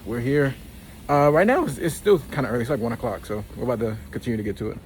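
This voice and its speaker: A man's voice: medium pitch, sing-song, fast talker, a crowd in the background. A young man in his twenties bantering playfully with classmates in a dental school lab, filmed on a handheld camera. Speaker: vlogger, dental student. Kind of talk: casual chat with classmates in a lab. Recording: noisy lab ambience.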